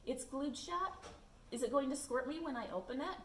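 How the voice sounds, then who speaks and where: A woman's voice: high-pitched, lively intonation, normal speed, voice pushed up. A woman voicing a cocky, suspicious schoolboy in a storybook, scoffing and questioning. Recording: echoey room, distant mic, background noise.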